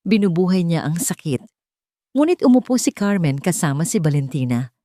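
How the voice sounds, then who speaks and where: A woman narrating a scripted sentimental drama story in a measured, dramatic storytelling manner. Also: fairly low pitch, sing-song, mellow, no echo, studio quality.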